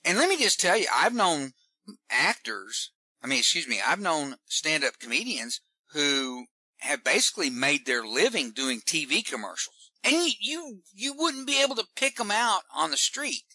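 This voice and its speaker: A man with a Southern Texan accent, a comedian giving folksy, animated advice in an interview, often repeating words as he starts a sentence. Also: on the high side, moderate pace, breathy edge, no room sound, low-quality recording. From Texas. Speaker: stand-up comedian guest. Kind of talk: casual interview talk.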